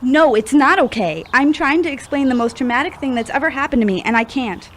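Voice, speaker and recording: A woman's voice: high voice, animated, brisk, a bit bright, slight background noise. A woman playing a troubled, earnest young woman in an audio drama, speaking confessionally. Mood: pained, confessing. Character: troubled young woman opening up about past grief. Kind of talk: amateur audio drama acting.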